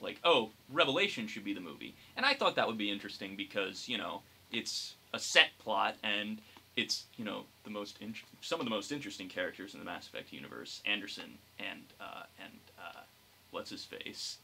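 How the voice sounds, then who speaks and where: Young male co-host, slightly low but bright voice, explanatory, informal, thinks aloud. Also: lively intonation, fairly quick, gravelly, husky. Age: young adult. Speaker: podcast co-host. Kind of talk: casual podcast discussion.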